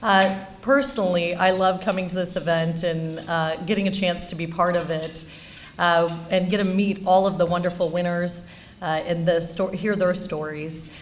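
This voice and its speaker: A woman's voice: lowish voice, steady tone, normal speed. Woman giving an informal award speech, background noise. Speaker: banker presenting an award.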